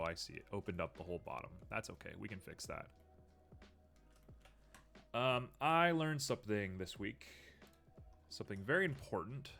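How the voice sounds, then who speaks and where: A man's voice: fairly low pitch, fairly bright tone. A man streaming live while building a 3D model, thinking aloud with frequent ums, a little sniffly. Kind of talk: casual live-stream commentary while working.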